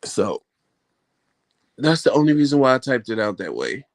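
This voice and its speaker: A man's voice: medium pitch, very animated, normal speed. A man hosting a vlog live stream, chatting casually with viewers in a rambling, folksy way. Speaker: vlog host answering live chat.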